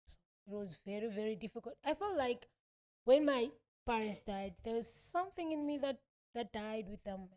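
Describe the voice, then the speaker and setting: A woman's voice: on the high side, expressive, moderate pace, a little mellow. A woman in her thirties with a Southern African accent, telling her own story as an interview guest, repeating phrases for emphasis. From born in South Africa, grew up in Malawi. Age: 30s. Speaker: podcast guest.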